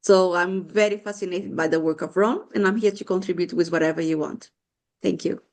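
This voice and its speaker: A woman's voice: fairly low pitch, a little fast, darkish tone. A woman with a Brazilian accent introducing herself as a lecturer on a video call, with frequent hesitations. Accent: Brazilian Portuguese. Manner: hesitant, searching for words. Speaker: lecturer and former peacekeeper.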